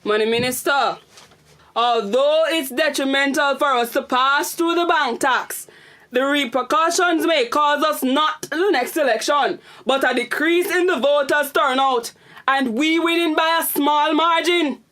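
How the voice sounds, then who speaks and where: A woman's voice: sits high, unhurried, a bit bright. A woman playing a stern, burdened prime minister in a radio drama, formal and commanding. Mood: grave, formal.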